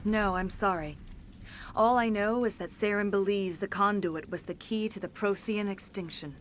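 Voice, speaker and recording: An actress playing a serene, centuries-old alien mystic in a video game, speaking in a measured, formal, reverent way. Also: female voice, mid-range voice, a little hiss or hum, natural voice. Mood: gentle, earnest. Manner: measured, formal, solemn delivery. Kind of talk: dramatic video game dialogue.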